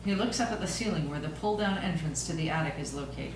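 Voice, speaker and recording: A woman's voice: deep voice, animated, fast. A woman reading scripted stage narration at an amateur table read. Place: echoey room, recorded from a distance. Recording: very echoey room, distant mic, clear noise.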